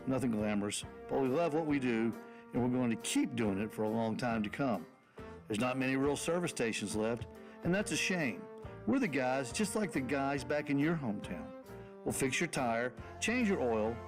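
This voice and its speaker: A man with a mid-range voice speaks plainly and folksily about his service station. Faint music plays underneath him. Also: mid-range voice. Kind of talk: radio ad read.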